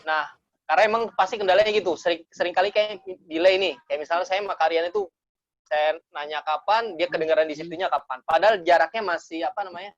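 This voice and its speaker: A man hosts a casual online chat. His voice is fairly high and his manner is informal and joking. The call audio is dry and a little dull. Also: quick pace, no echo. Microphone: phone on video call.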